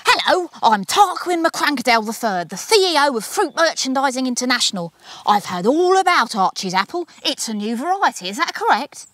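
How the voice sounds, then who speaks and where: Casting: woman reader as booming, pompous fat-cat businessman for a children's storybook, greedy and bombastic, pitch swooping with relish. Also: sing-song, normal speed, a bit bright. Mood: booming, self-important. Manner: bombastic, relishing strings of alliterative words. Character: booming, greedy, pompous male fruit company boss.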